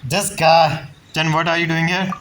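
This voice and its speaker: A man's voice: a little high, little pitch movement, moderate pace, a crowd in the background. A man giving casual reaction commentary as a gaming streamer, interjecting informally and repeating short phrases.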